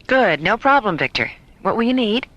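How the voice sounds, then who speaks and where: A woman playing a capable, brisk and concerned space-station doctor in a radio drama. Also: mid-range voice, big pitch swings, fairly bright tone, dull audio. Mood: brisk, helpful.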